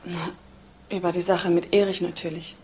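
A woman's voice: fairly low pitch, little pitch movement, normal speed, darkish tone, a little hiss or hum, lightly acted. An actress playing a defensive, earnest woman being questioned, speaking emphatically in a German crime radio drama. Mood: guarded.

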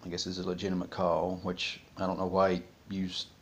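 Low-pitched, halting middle-aged man. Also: monotone, slight background noise. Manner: halting, thinking aloud. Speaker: vlogger discussing a criminal case. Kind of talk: casual, unscripted monologue. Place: small room at home, indoors.

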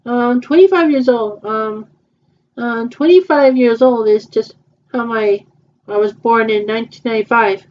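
A young woman in her twenties, improvising a roleplay dialogue in a hesitant, halting way, with many repeats and fillers. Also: fairly high pitch, darkish tone. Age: 20s. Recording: muffled lo-fi home recording.

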